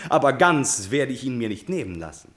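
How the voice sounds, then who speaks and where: A suave, self-assured prince in a classical radio drama. His mid-pitched voice rises and falls widely, courtly and theatrical, with a condescending polish. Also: very animated, moderate pace, a bit bright. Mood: suave, imperious.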